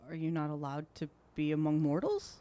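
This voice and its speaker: A man's voice: fairly high pitch, lively intonation, fairly quick, dark tone. A man playing a tabletop game casually in character, with an earnest, hesitant way of talking. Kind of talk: casual in-character role-play.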